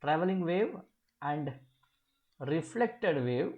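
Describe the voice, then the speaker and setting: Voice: medium pitch, lively intonation, slow pace, phone-quality sound. Didactic physics teacher, mid-range voice. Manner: didactic, asks and answers own questions; repeats key phrases. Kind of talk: step-by-step exam problem walkthrough.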